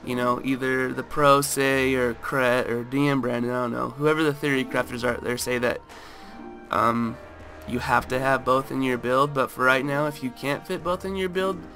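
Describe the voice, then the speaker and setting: A man with a raspy, just-woken-up voice talking through a game item comparison in a relaxed way, full of fillers. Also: mid-range voice. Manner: relaxed, lots of fillers and hedges. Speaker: gaming video host. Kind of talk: casual game commentary. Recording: loud background music.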